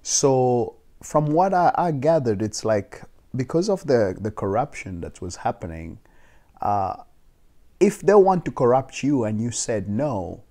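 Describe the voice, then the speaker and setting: A man's voice: mid-range voice, sing-song, a little slow, warm tone. A man in his twenties, raised in South Africa, being interviewed on a living-room couch, talking thoughtfully with frequent restarts. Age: 20s. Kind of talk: casual sit-down interview. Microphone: lapel mic.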